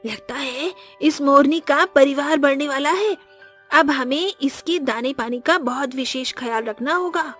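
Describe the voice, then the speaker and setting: A gentle young girl in a cartoon. Her very high voice stays soft and caring, and her worried questions come gently. Light music plays underneath. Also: measured pace. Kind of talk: cartoon voice acting.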